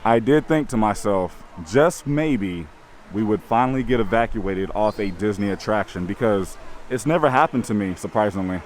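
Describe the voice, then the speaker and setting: A man with a slightly raspy voice narrating an upbeat, chatty vlog outdoors at a theme park. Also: fairly low pitch, expressive, normal speed, a little hiss or hum. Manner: enthusiastic, chatty.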